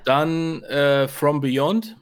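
A man's voice: on the high side, extremely slow, clean tone. A man co-hosting a podcast, giving his opinions in casual chat with a hesitant, searching delivery. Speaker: podcast co-host.

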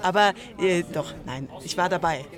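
A woman's voice: lowish voice, normal speed. A woman chatting casually as an interviewee. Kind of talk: casual chat. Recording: crowd chatter at busy event.

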